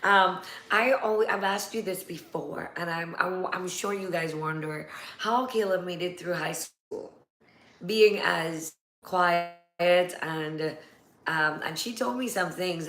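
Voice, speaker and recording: A woman hosts a live interview in a chatty, animated way. Her voice sits a little low and sounds full of energy. The room is echoey. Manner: chatty, animated, quick to laugh. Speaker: host. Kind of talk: casual livestream interview.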